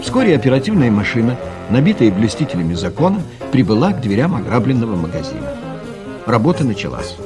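A man's voice: medium pitch, wildly swooping pitch, fast, loud music behind the voice. A man narrating a scripted comic story as the storyteller of an audio play.

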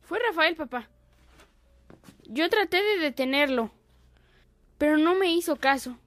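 A woman's voice: high-pitched, lively intonation, unhurried, a bit bright. A woman voicing a sly, smug young boy in a radio drama. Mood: feigned innocence. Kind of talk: Spanish-language radio drama acting.

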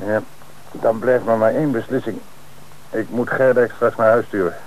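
An actor playing an authoritative police commissioner in an old radio play. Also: male voice, medium pitch, steady tone, moderate pace, slight background noise, lightly acted, rough recording. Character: middle-aged male police commissioner in charge.

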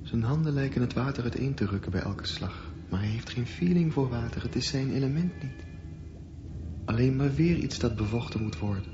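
An actor playing a dreamy, defiant young swimmer thinking aloud in a poetic radio drama monologue. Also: male voice, medium pitch, lively intonation, fairly quick, lightly acted. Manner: poetic, musing. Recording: dull lo-fi radio play recording. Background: prominent music.

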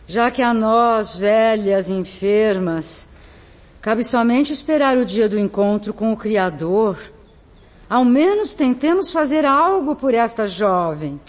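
Woman playing a slow, kindly, resigned old woman. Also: on the high side, lively intonation. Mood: consoling, resigned. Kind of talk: radio drama acting. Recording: dull lo-fi radio drama recording.

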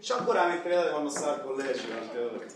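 An actor playing a brash, boastful young bodybuilder and ex-dealer from the Roman outskirts, confessing in emphatic street slang with a Roman accent. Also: male voice, medium pitch, animated, rapid-fire, a crowd in the background, lightly acted. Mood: confessional, subdued. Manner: colloquial, emphatic, punctuated with rhetorical tags. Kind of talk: theatrical monologue in street slang. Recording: echoey hall, distant mic, audience noise.

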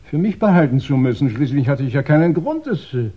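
An actor playing a meek henpecked husband in a 1960s radio crime drama, flustered and agreeable, hesitating and repeating himself. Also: male voice, on the high side, sing-song, fairly quick, slightly rough. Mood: nervous, hesitant. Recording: dull vintage 1960s radio recording.

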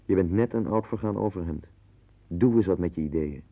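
Casting: blunt, pompous lecturer, very low rough voice, vintage radio drama, phone-thin sound. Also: male voice, a little fast. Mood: lecturing, scornful. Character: blunt, domineering friend, lecturing and faintly pompous. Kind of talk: 1960s Dutch radio play acting. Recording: tinny, band-limited 1960s radio recording.

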